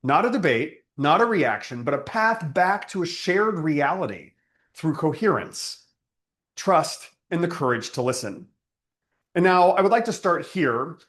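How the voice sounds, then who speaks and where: A man delivering a guided spiritual sermon as a teacher, reflective and deliberate, repeating phrases for emphasis. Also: fairly high pitch, expressive, fairly bright tone.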